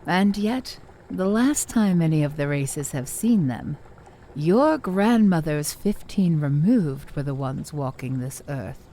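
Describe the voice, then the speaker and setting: A woman playing a measured, cryptic lore-keeper in a fantasy audio drama, speaking in deliberate, formal storytelling. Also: sits low, animated, dark tone, exaggerated voice. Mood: knowing, challenging. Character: measured, cryptic female lore-keeper challenging her pupils' histories.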